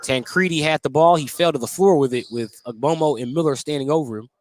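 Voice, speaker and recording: A man's voice: fairly high pitch, quick pace, dry recording. A man with an American accent doing live radio play-by-play commentary of a basketball game in clipped phrases. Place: indoor basketball gym.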